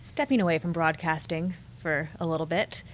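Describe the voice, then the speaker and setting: Lower-voiced woman host, noisy recording. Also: normal speed. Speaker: podcast host. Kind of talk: casual interview chat.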